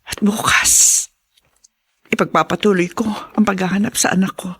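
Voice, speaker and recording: An actress playing an anxious, determined mother in a radio drama, speaking earnestly and deliberately. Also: medium pitch, fairly even intonation, a bit bright, slightly rough. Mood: determined, pensive. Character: anxious, determined mother searching for her lost child. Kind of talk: Tagalog radio drama acting.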